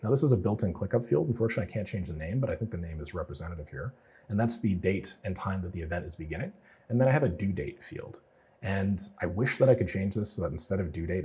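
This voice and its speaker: A man getting over a cold, casually walking viewers through a software build in a tutorial, explaining as he goes with plenty of fillers. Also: low voice, slightly rough. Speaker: automation freelancer teaching a client build. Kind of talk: screen-share tutorial walkthrough.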